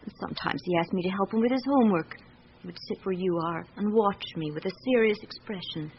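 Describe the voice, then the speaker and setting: An actress playing a candid but guarded young household employee in a radio drama, measured and matter-of-fact. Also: female voice, mid-range voice, lightly acted. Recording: muffled, faintly noisy radio recording.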